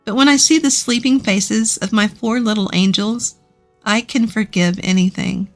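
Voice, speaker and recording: A woman's voice: mid-range voice, moderate pace, faint music behind. A woman reading a scripted first-person story as its narrator.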